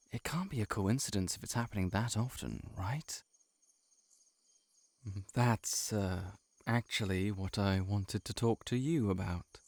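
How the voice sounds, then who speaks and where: A man playing a shy, heartbroken friend in an intimate audio roleplay, confiding haltingly to the listener. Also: fairly low pitch, no room sound. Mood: uneasy, wry. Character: shapeshifting monster posing as a shy, heartbroken male friend.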